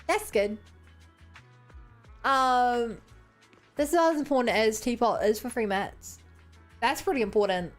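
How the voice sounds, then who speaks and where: A woman's voice: a little high, measured pace, pauses often, faint music behind. A woman from New Zealand on a gaming livestream, chatting to viewers and reading game text aloud. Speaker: game streamer.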